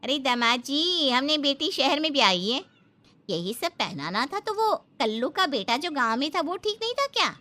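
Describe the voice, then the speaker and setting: Nosy, brash village mother acted by a woman narrator: dramatic scolding, bright tone, wildly animated pitch. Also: normal speed, full character voice. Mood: scornful. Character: brash, nosy, middle-aged village mother dreaming of city life.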